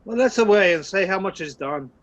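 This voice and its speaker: Hired killer, male amateur actor, higher pitch, blunt, serving a king, radio play verse. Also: animated, brisk, highs cut off, noisy background. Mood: urgent. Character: blunt hired murderer reporting to his king.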